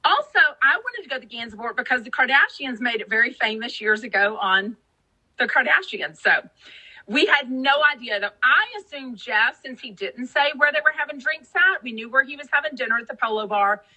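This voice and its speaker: Podcast co-host from Texas, woman, very bright and slightly high, gossipy casual chat. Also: lively intonation, a little fast, husky, low-quality recording. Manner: chatty, gossipy, lots of fillers.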